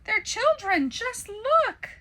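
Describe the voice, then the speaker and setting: Woman voicing storybook waffle baron, pompous, high and pinched, slow gravelly edge. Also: sing-song, full character voice. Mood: indignant. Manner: stuttering, shrill outbursts. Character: pompous male waffle baron, hurt and lonely. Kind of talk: storybook character voice.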